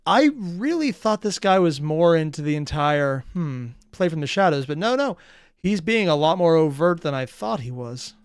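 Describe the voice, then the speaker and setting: A man with a clear, smooth voice giving casual, musing off-the-cuff commentary as a reaction host, close to the mic. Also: a little high, expressive, moderate pace, no room sound. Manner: musing, thinking aloud, questioning.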